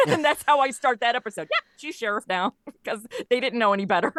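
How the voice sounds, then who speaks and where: A woman speaking as an interview guest in casual chat, telling stories in an animated way and acting out quoted lines. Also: fairly high pitch, brisk, dry recording, studio quality. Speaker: guest, writer of a comedy audio show.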